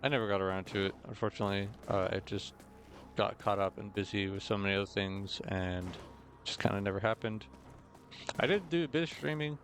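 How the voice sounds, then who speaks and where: A young man with a slightly hoarse, rough throat, chatting casually and rambling through gameplay commentary with many fillers and self-corrections. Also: fairly low pitch, fairly quick, faint music behind. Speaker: gaming video host. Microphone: desk mic with noise gate.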